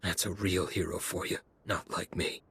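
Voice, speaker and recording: Give a very husky, low-voiced male outlaw in a video game. He is humbled and defeated, talking himself down as a mere thief, recorded close and dry. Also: fairly even intonation, moderate pace, fairly bright tone, no echo, exaggerated voice. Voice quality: husky, rough. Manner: defeated, self-deprecating.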